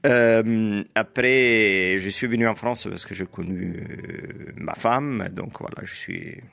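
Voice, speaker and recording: Middle-aged italian guy with an italian accent, lowish gravelly voice, measured and hesitant. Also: fairly bright tone. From Italy. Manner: measured, explains with frequent hesitations. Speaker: guest, teacher and editor. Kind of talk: interview conversation.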